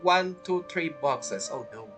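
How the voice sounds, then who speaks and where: Low-pitched, very animated woman gamer. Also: slow. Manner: teasing, playful. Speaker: gamer playing and commenting. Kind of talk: casual playthrough commentary, reacting to dialogue. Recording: faint game music behind.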